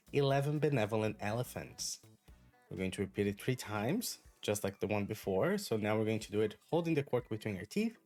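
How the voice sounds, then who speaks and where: A man whose first language is Brazilian Portuguese, giving an online English pronunciation lesson in a clear, deliberate, encouraging way. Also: medium pitch, a little mellow. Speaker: English teacher.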